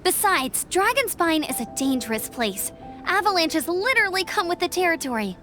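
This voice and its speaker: A woman playing a spirited, caring young female scout in a video game, energetic and earnest. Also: extremely high pitch, moderate pace, faint music behind, full character voice. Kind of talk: video game cutscene acting.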